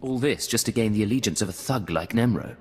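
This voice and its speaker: A man's voice: on the low side, lively intonation, fast, a little mellow, natural voice. An actor voicing a cold male covert agent who talks in terse lines. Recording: game audio with reverb and faint ambience.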